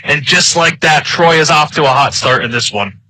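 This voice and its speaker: An American man giving color commentary on live football. His voice is very bright and piercing, a bit higher than most men's, with a tight, dry sound. He analyzes plays in a sportscasting style. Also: animated, moderate pace, no room sound, rough recording.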